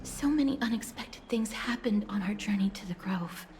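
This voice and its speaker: A woman voicing a gentle, solemn young noblewoman in a video game, speaking softly in a polite, formal manner. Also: mid-range voice, moderate pace, soft background music, lightly acted. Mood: solemn, grave. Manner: polite, formal, soft-spoken.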